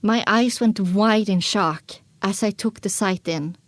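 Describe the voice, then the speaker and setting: A woman's voice: medium pitch, unhurried, no echo. A woman narrating an audio drama in the first person, with a dramatic scripted delivery.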